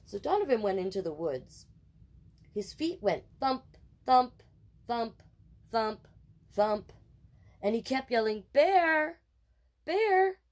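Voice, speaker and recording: Woman, storytime host, animated intonation, unhurried pace, expressive picture book reading, casual playful asides. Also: mid-range voice. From Canada. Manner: expressive, deliberate, playful sound words. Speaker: storytime host and drag king performer.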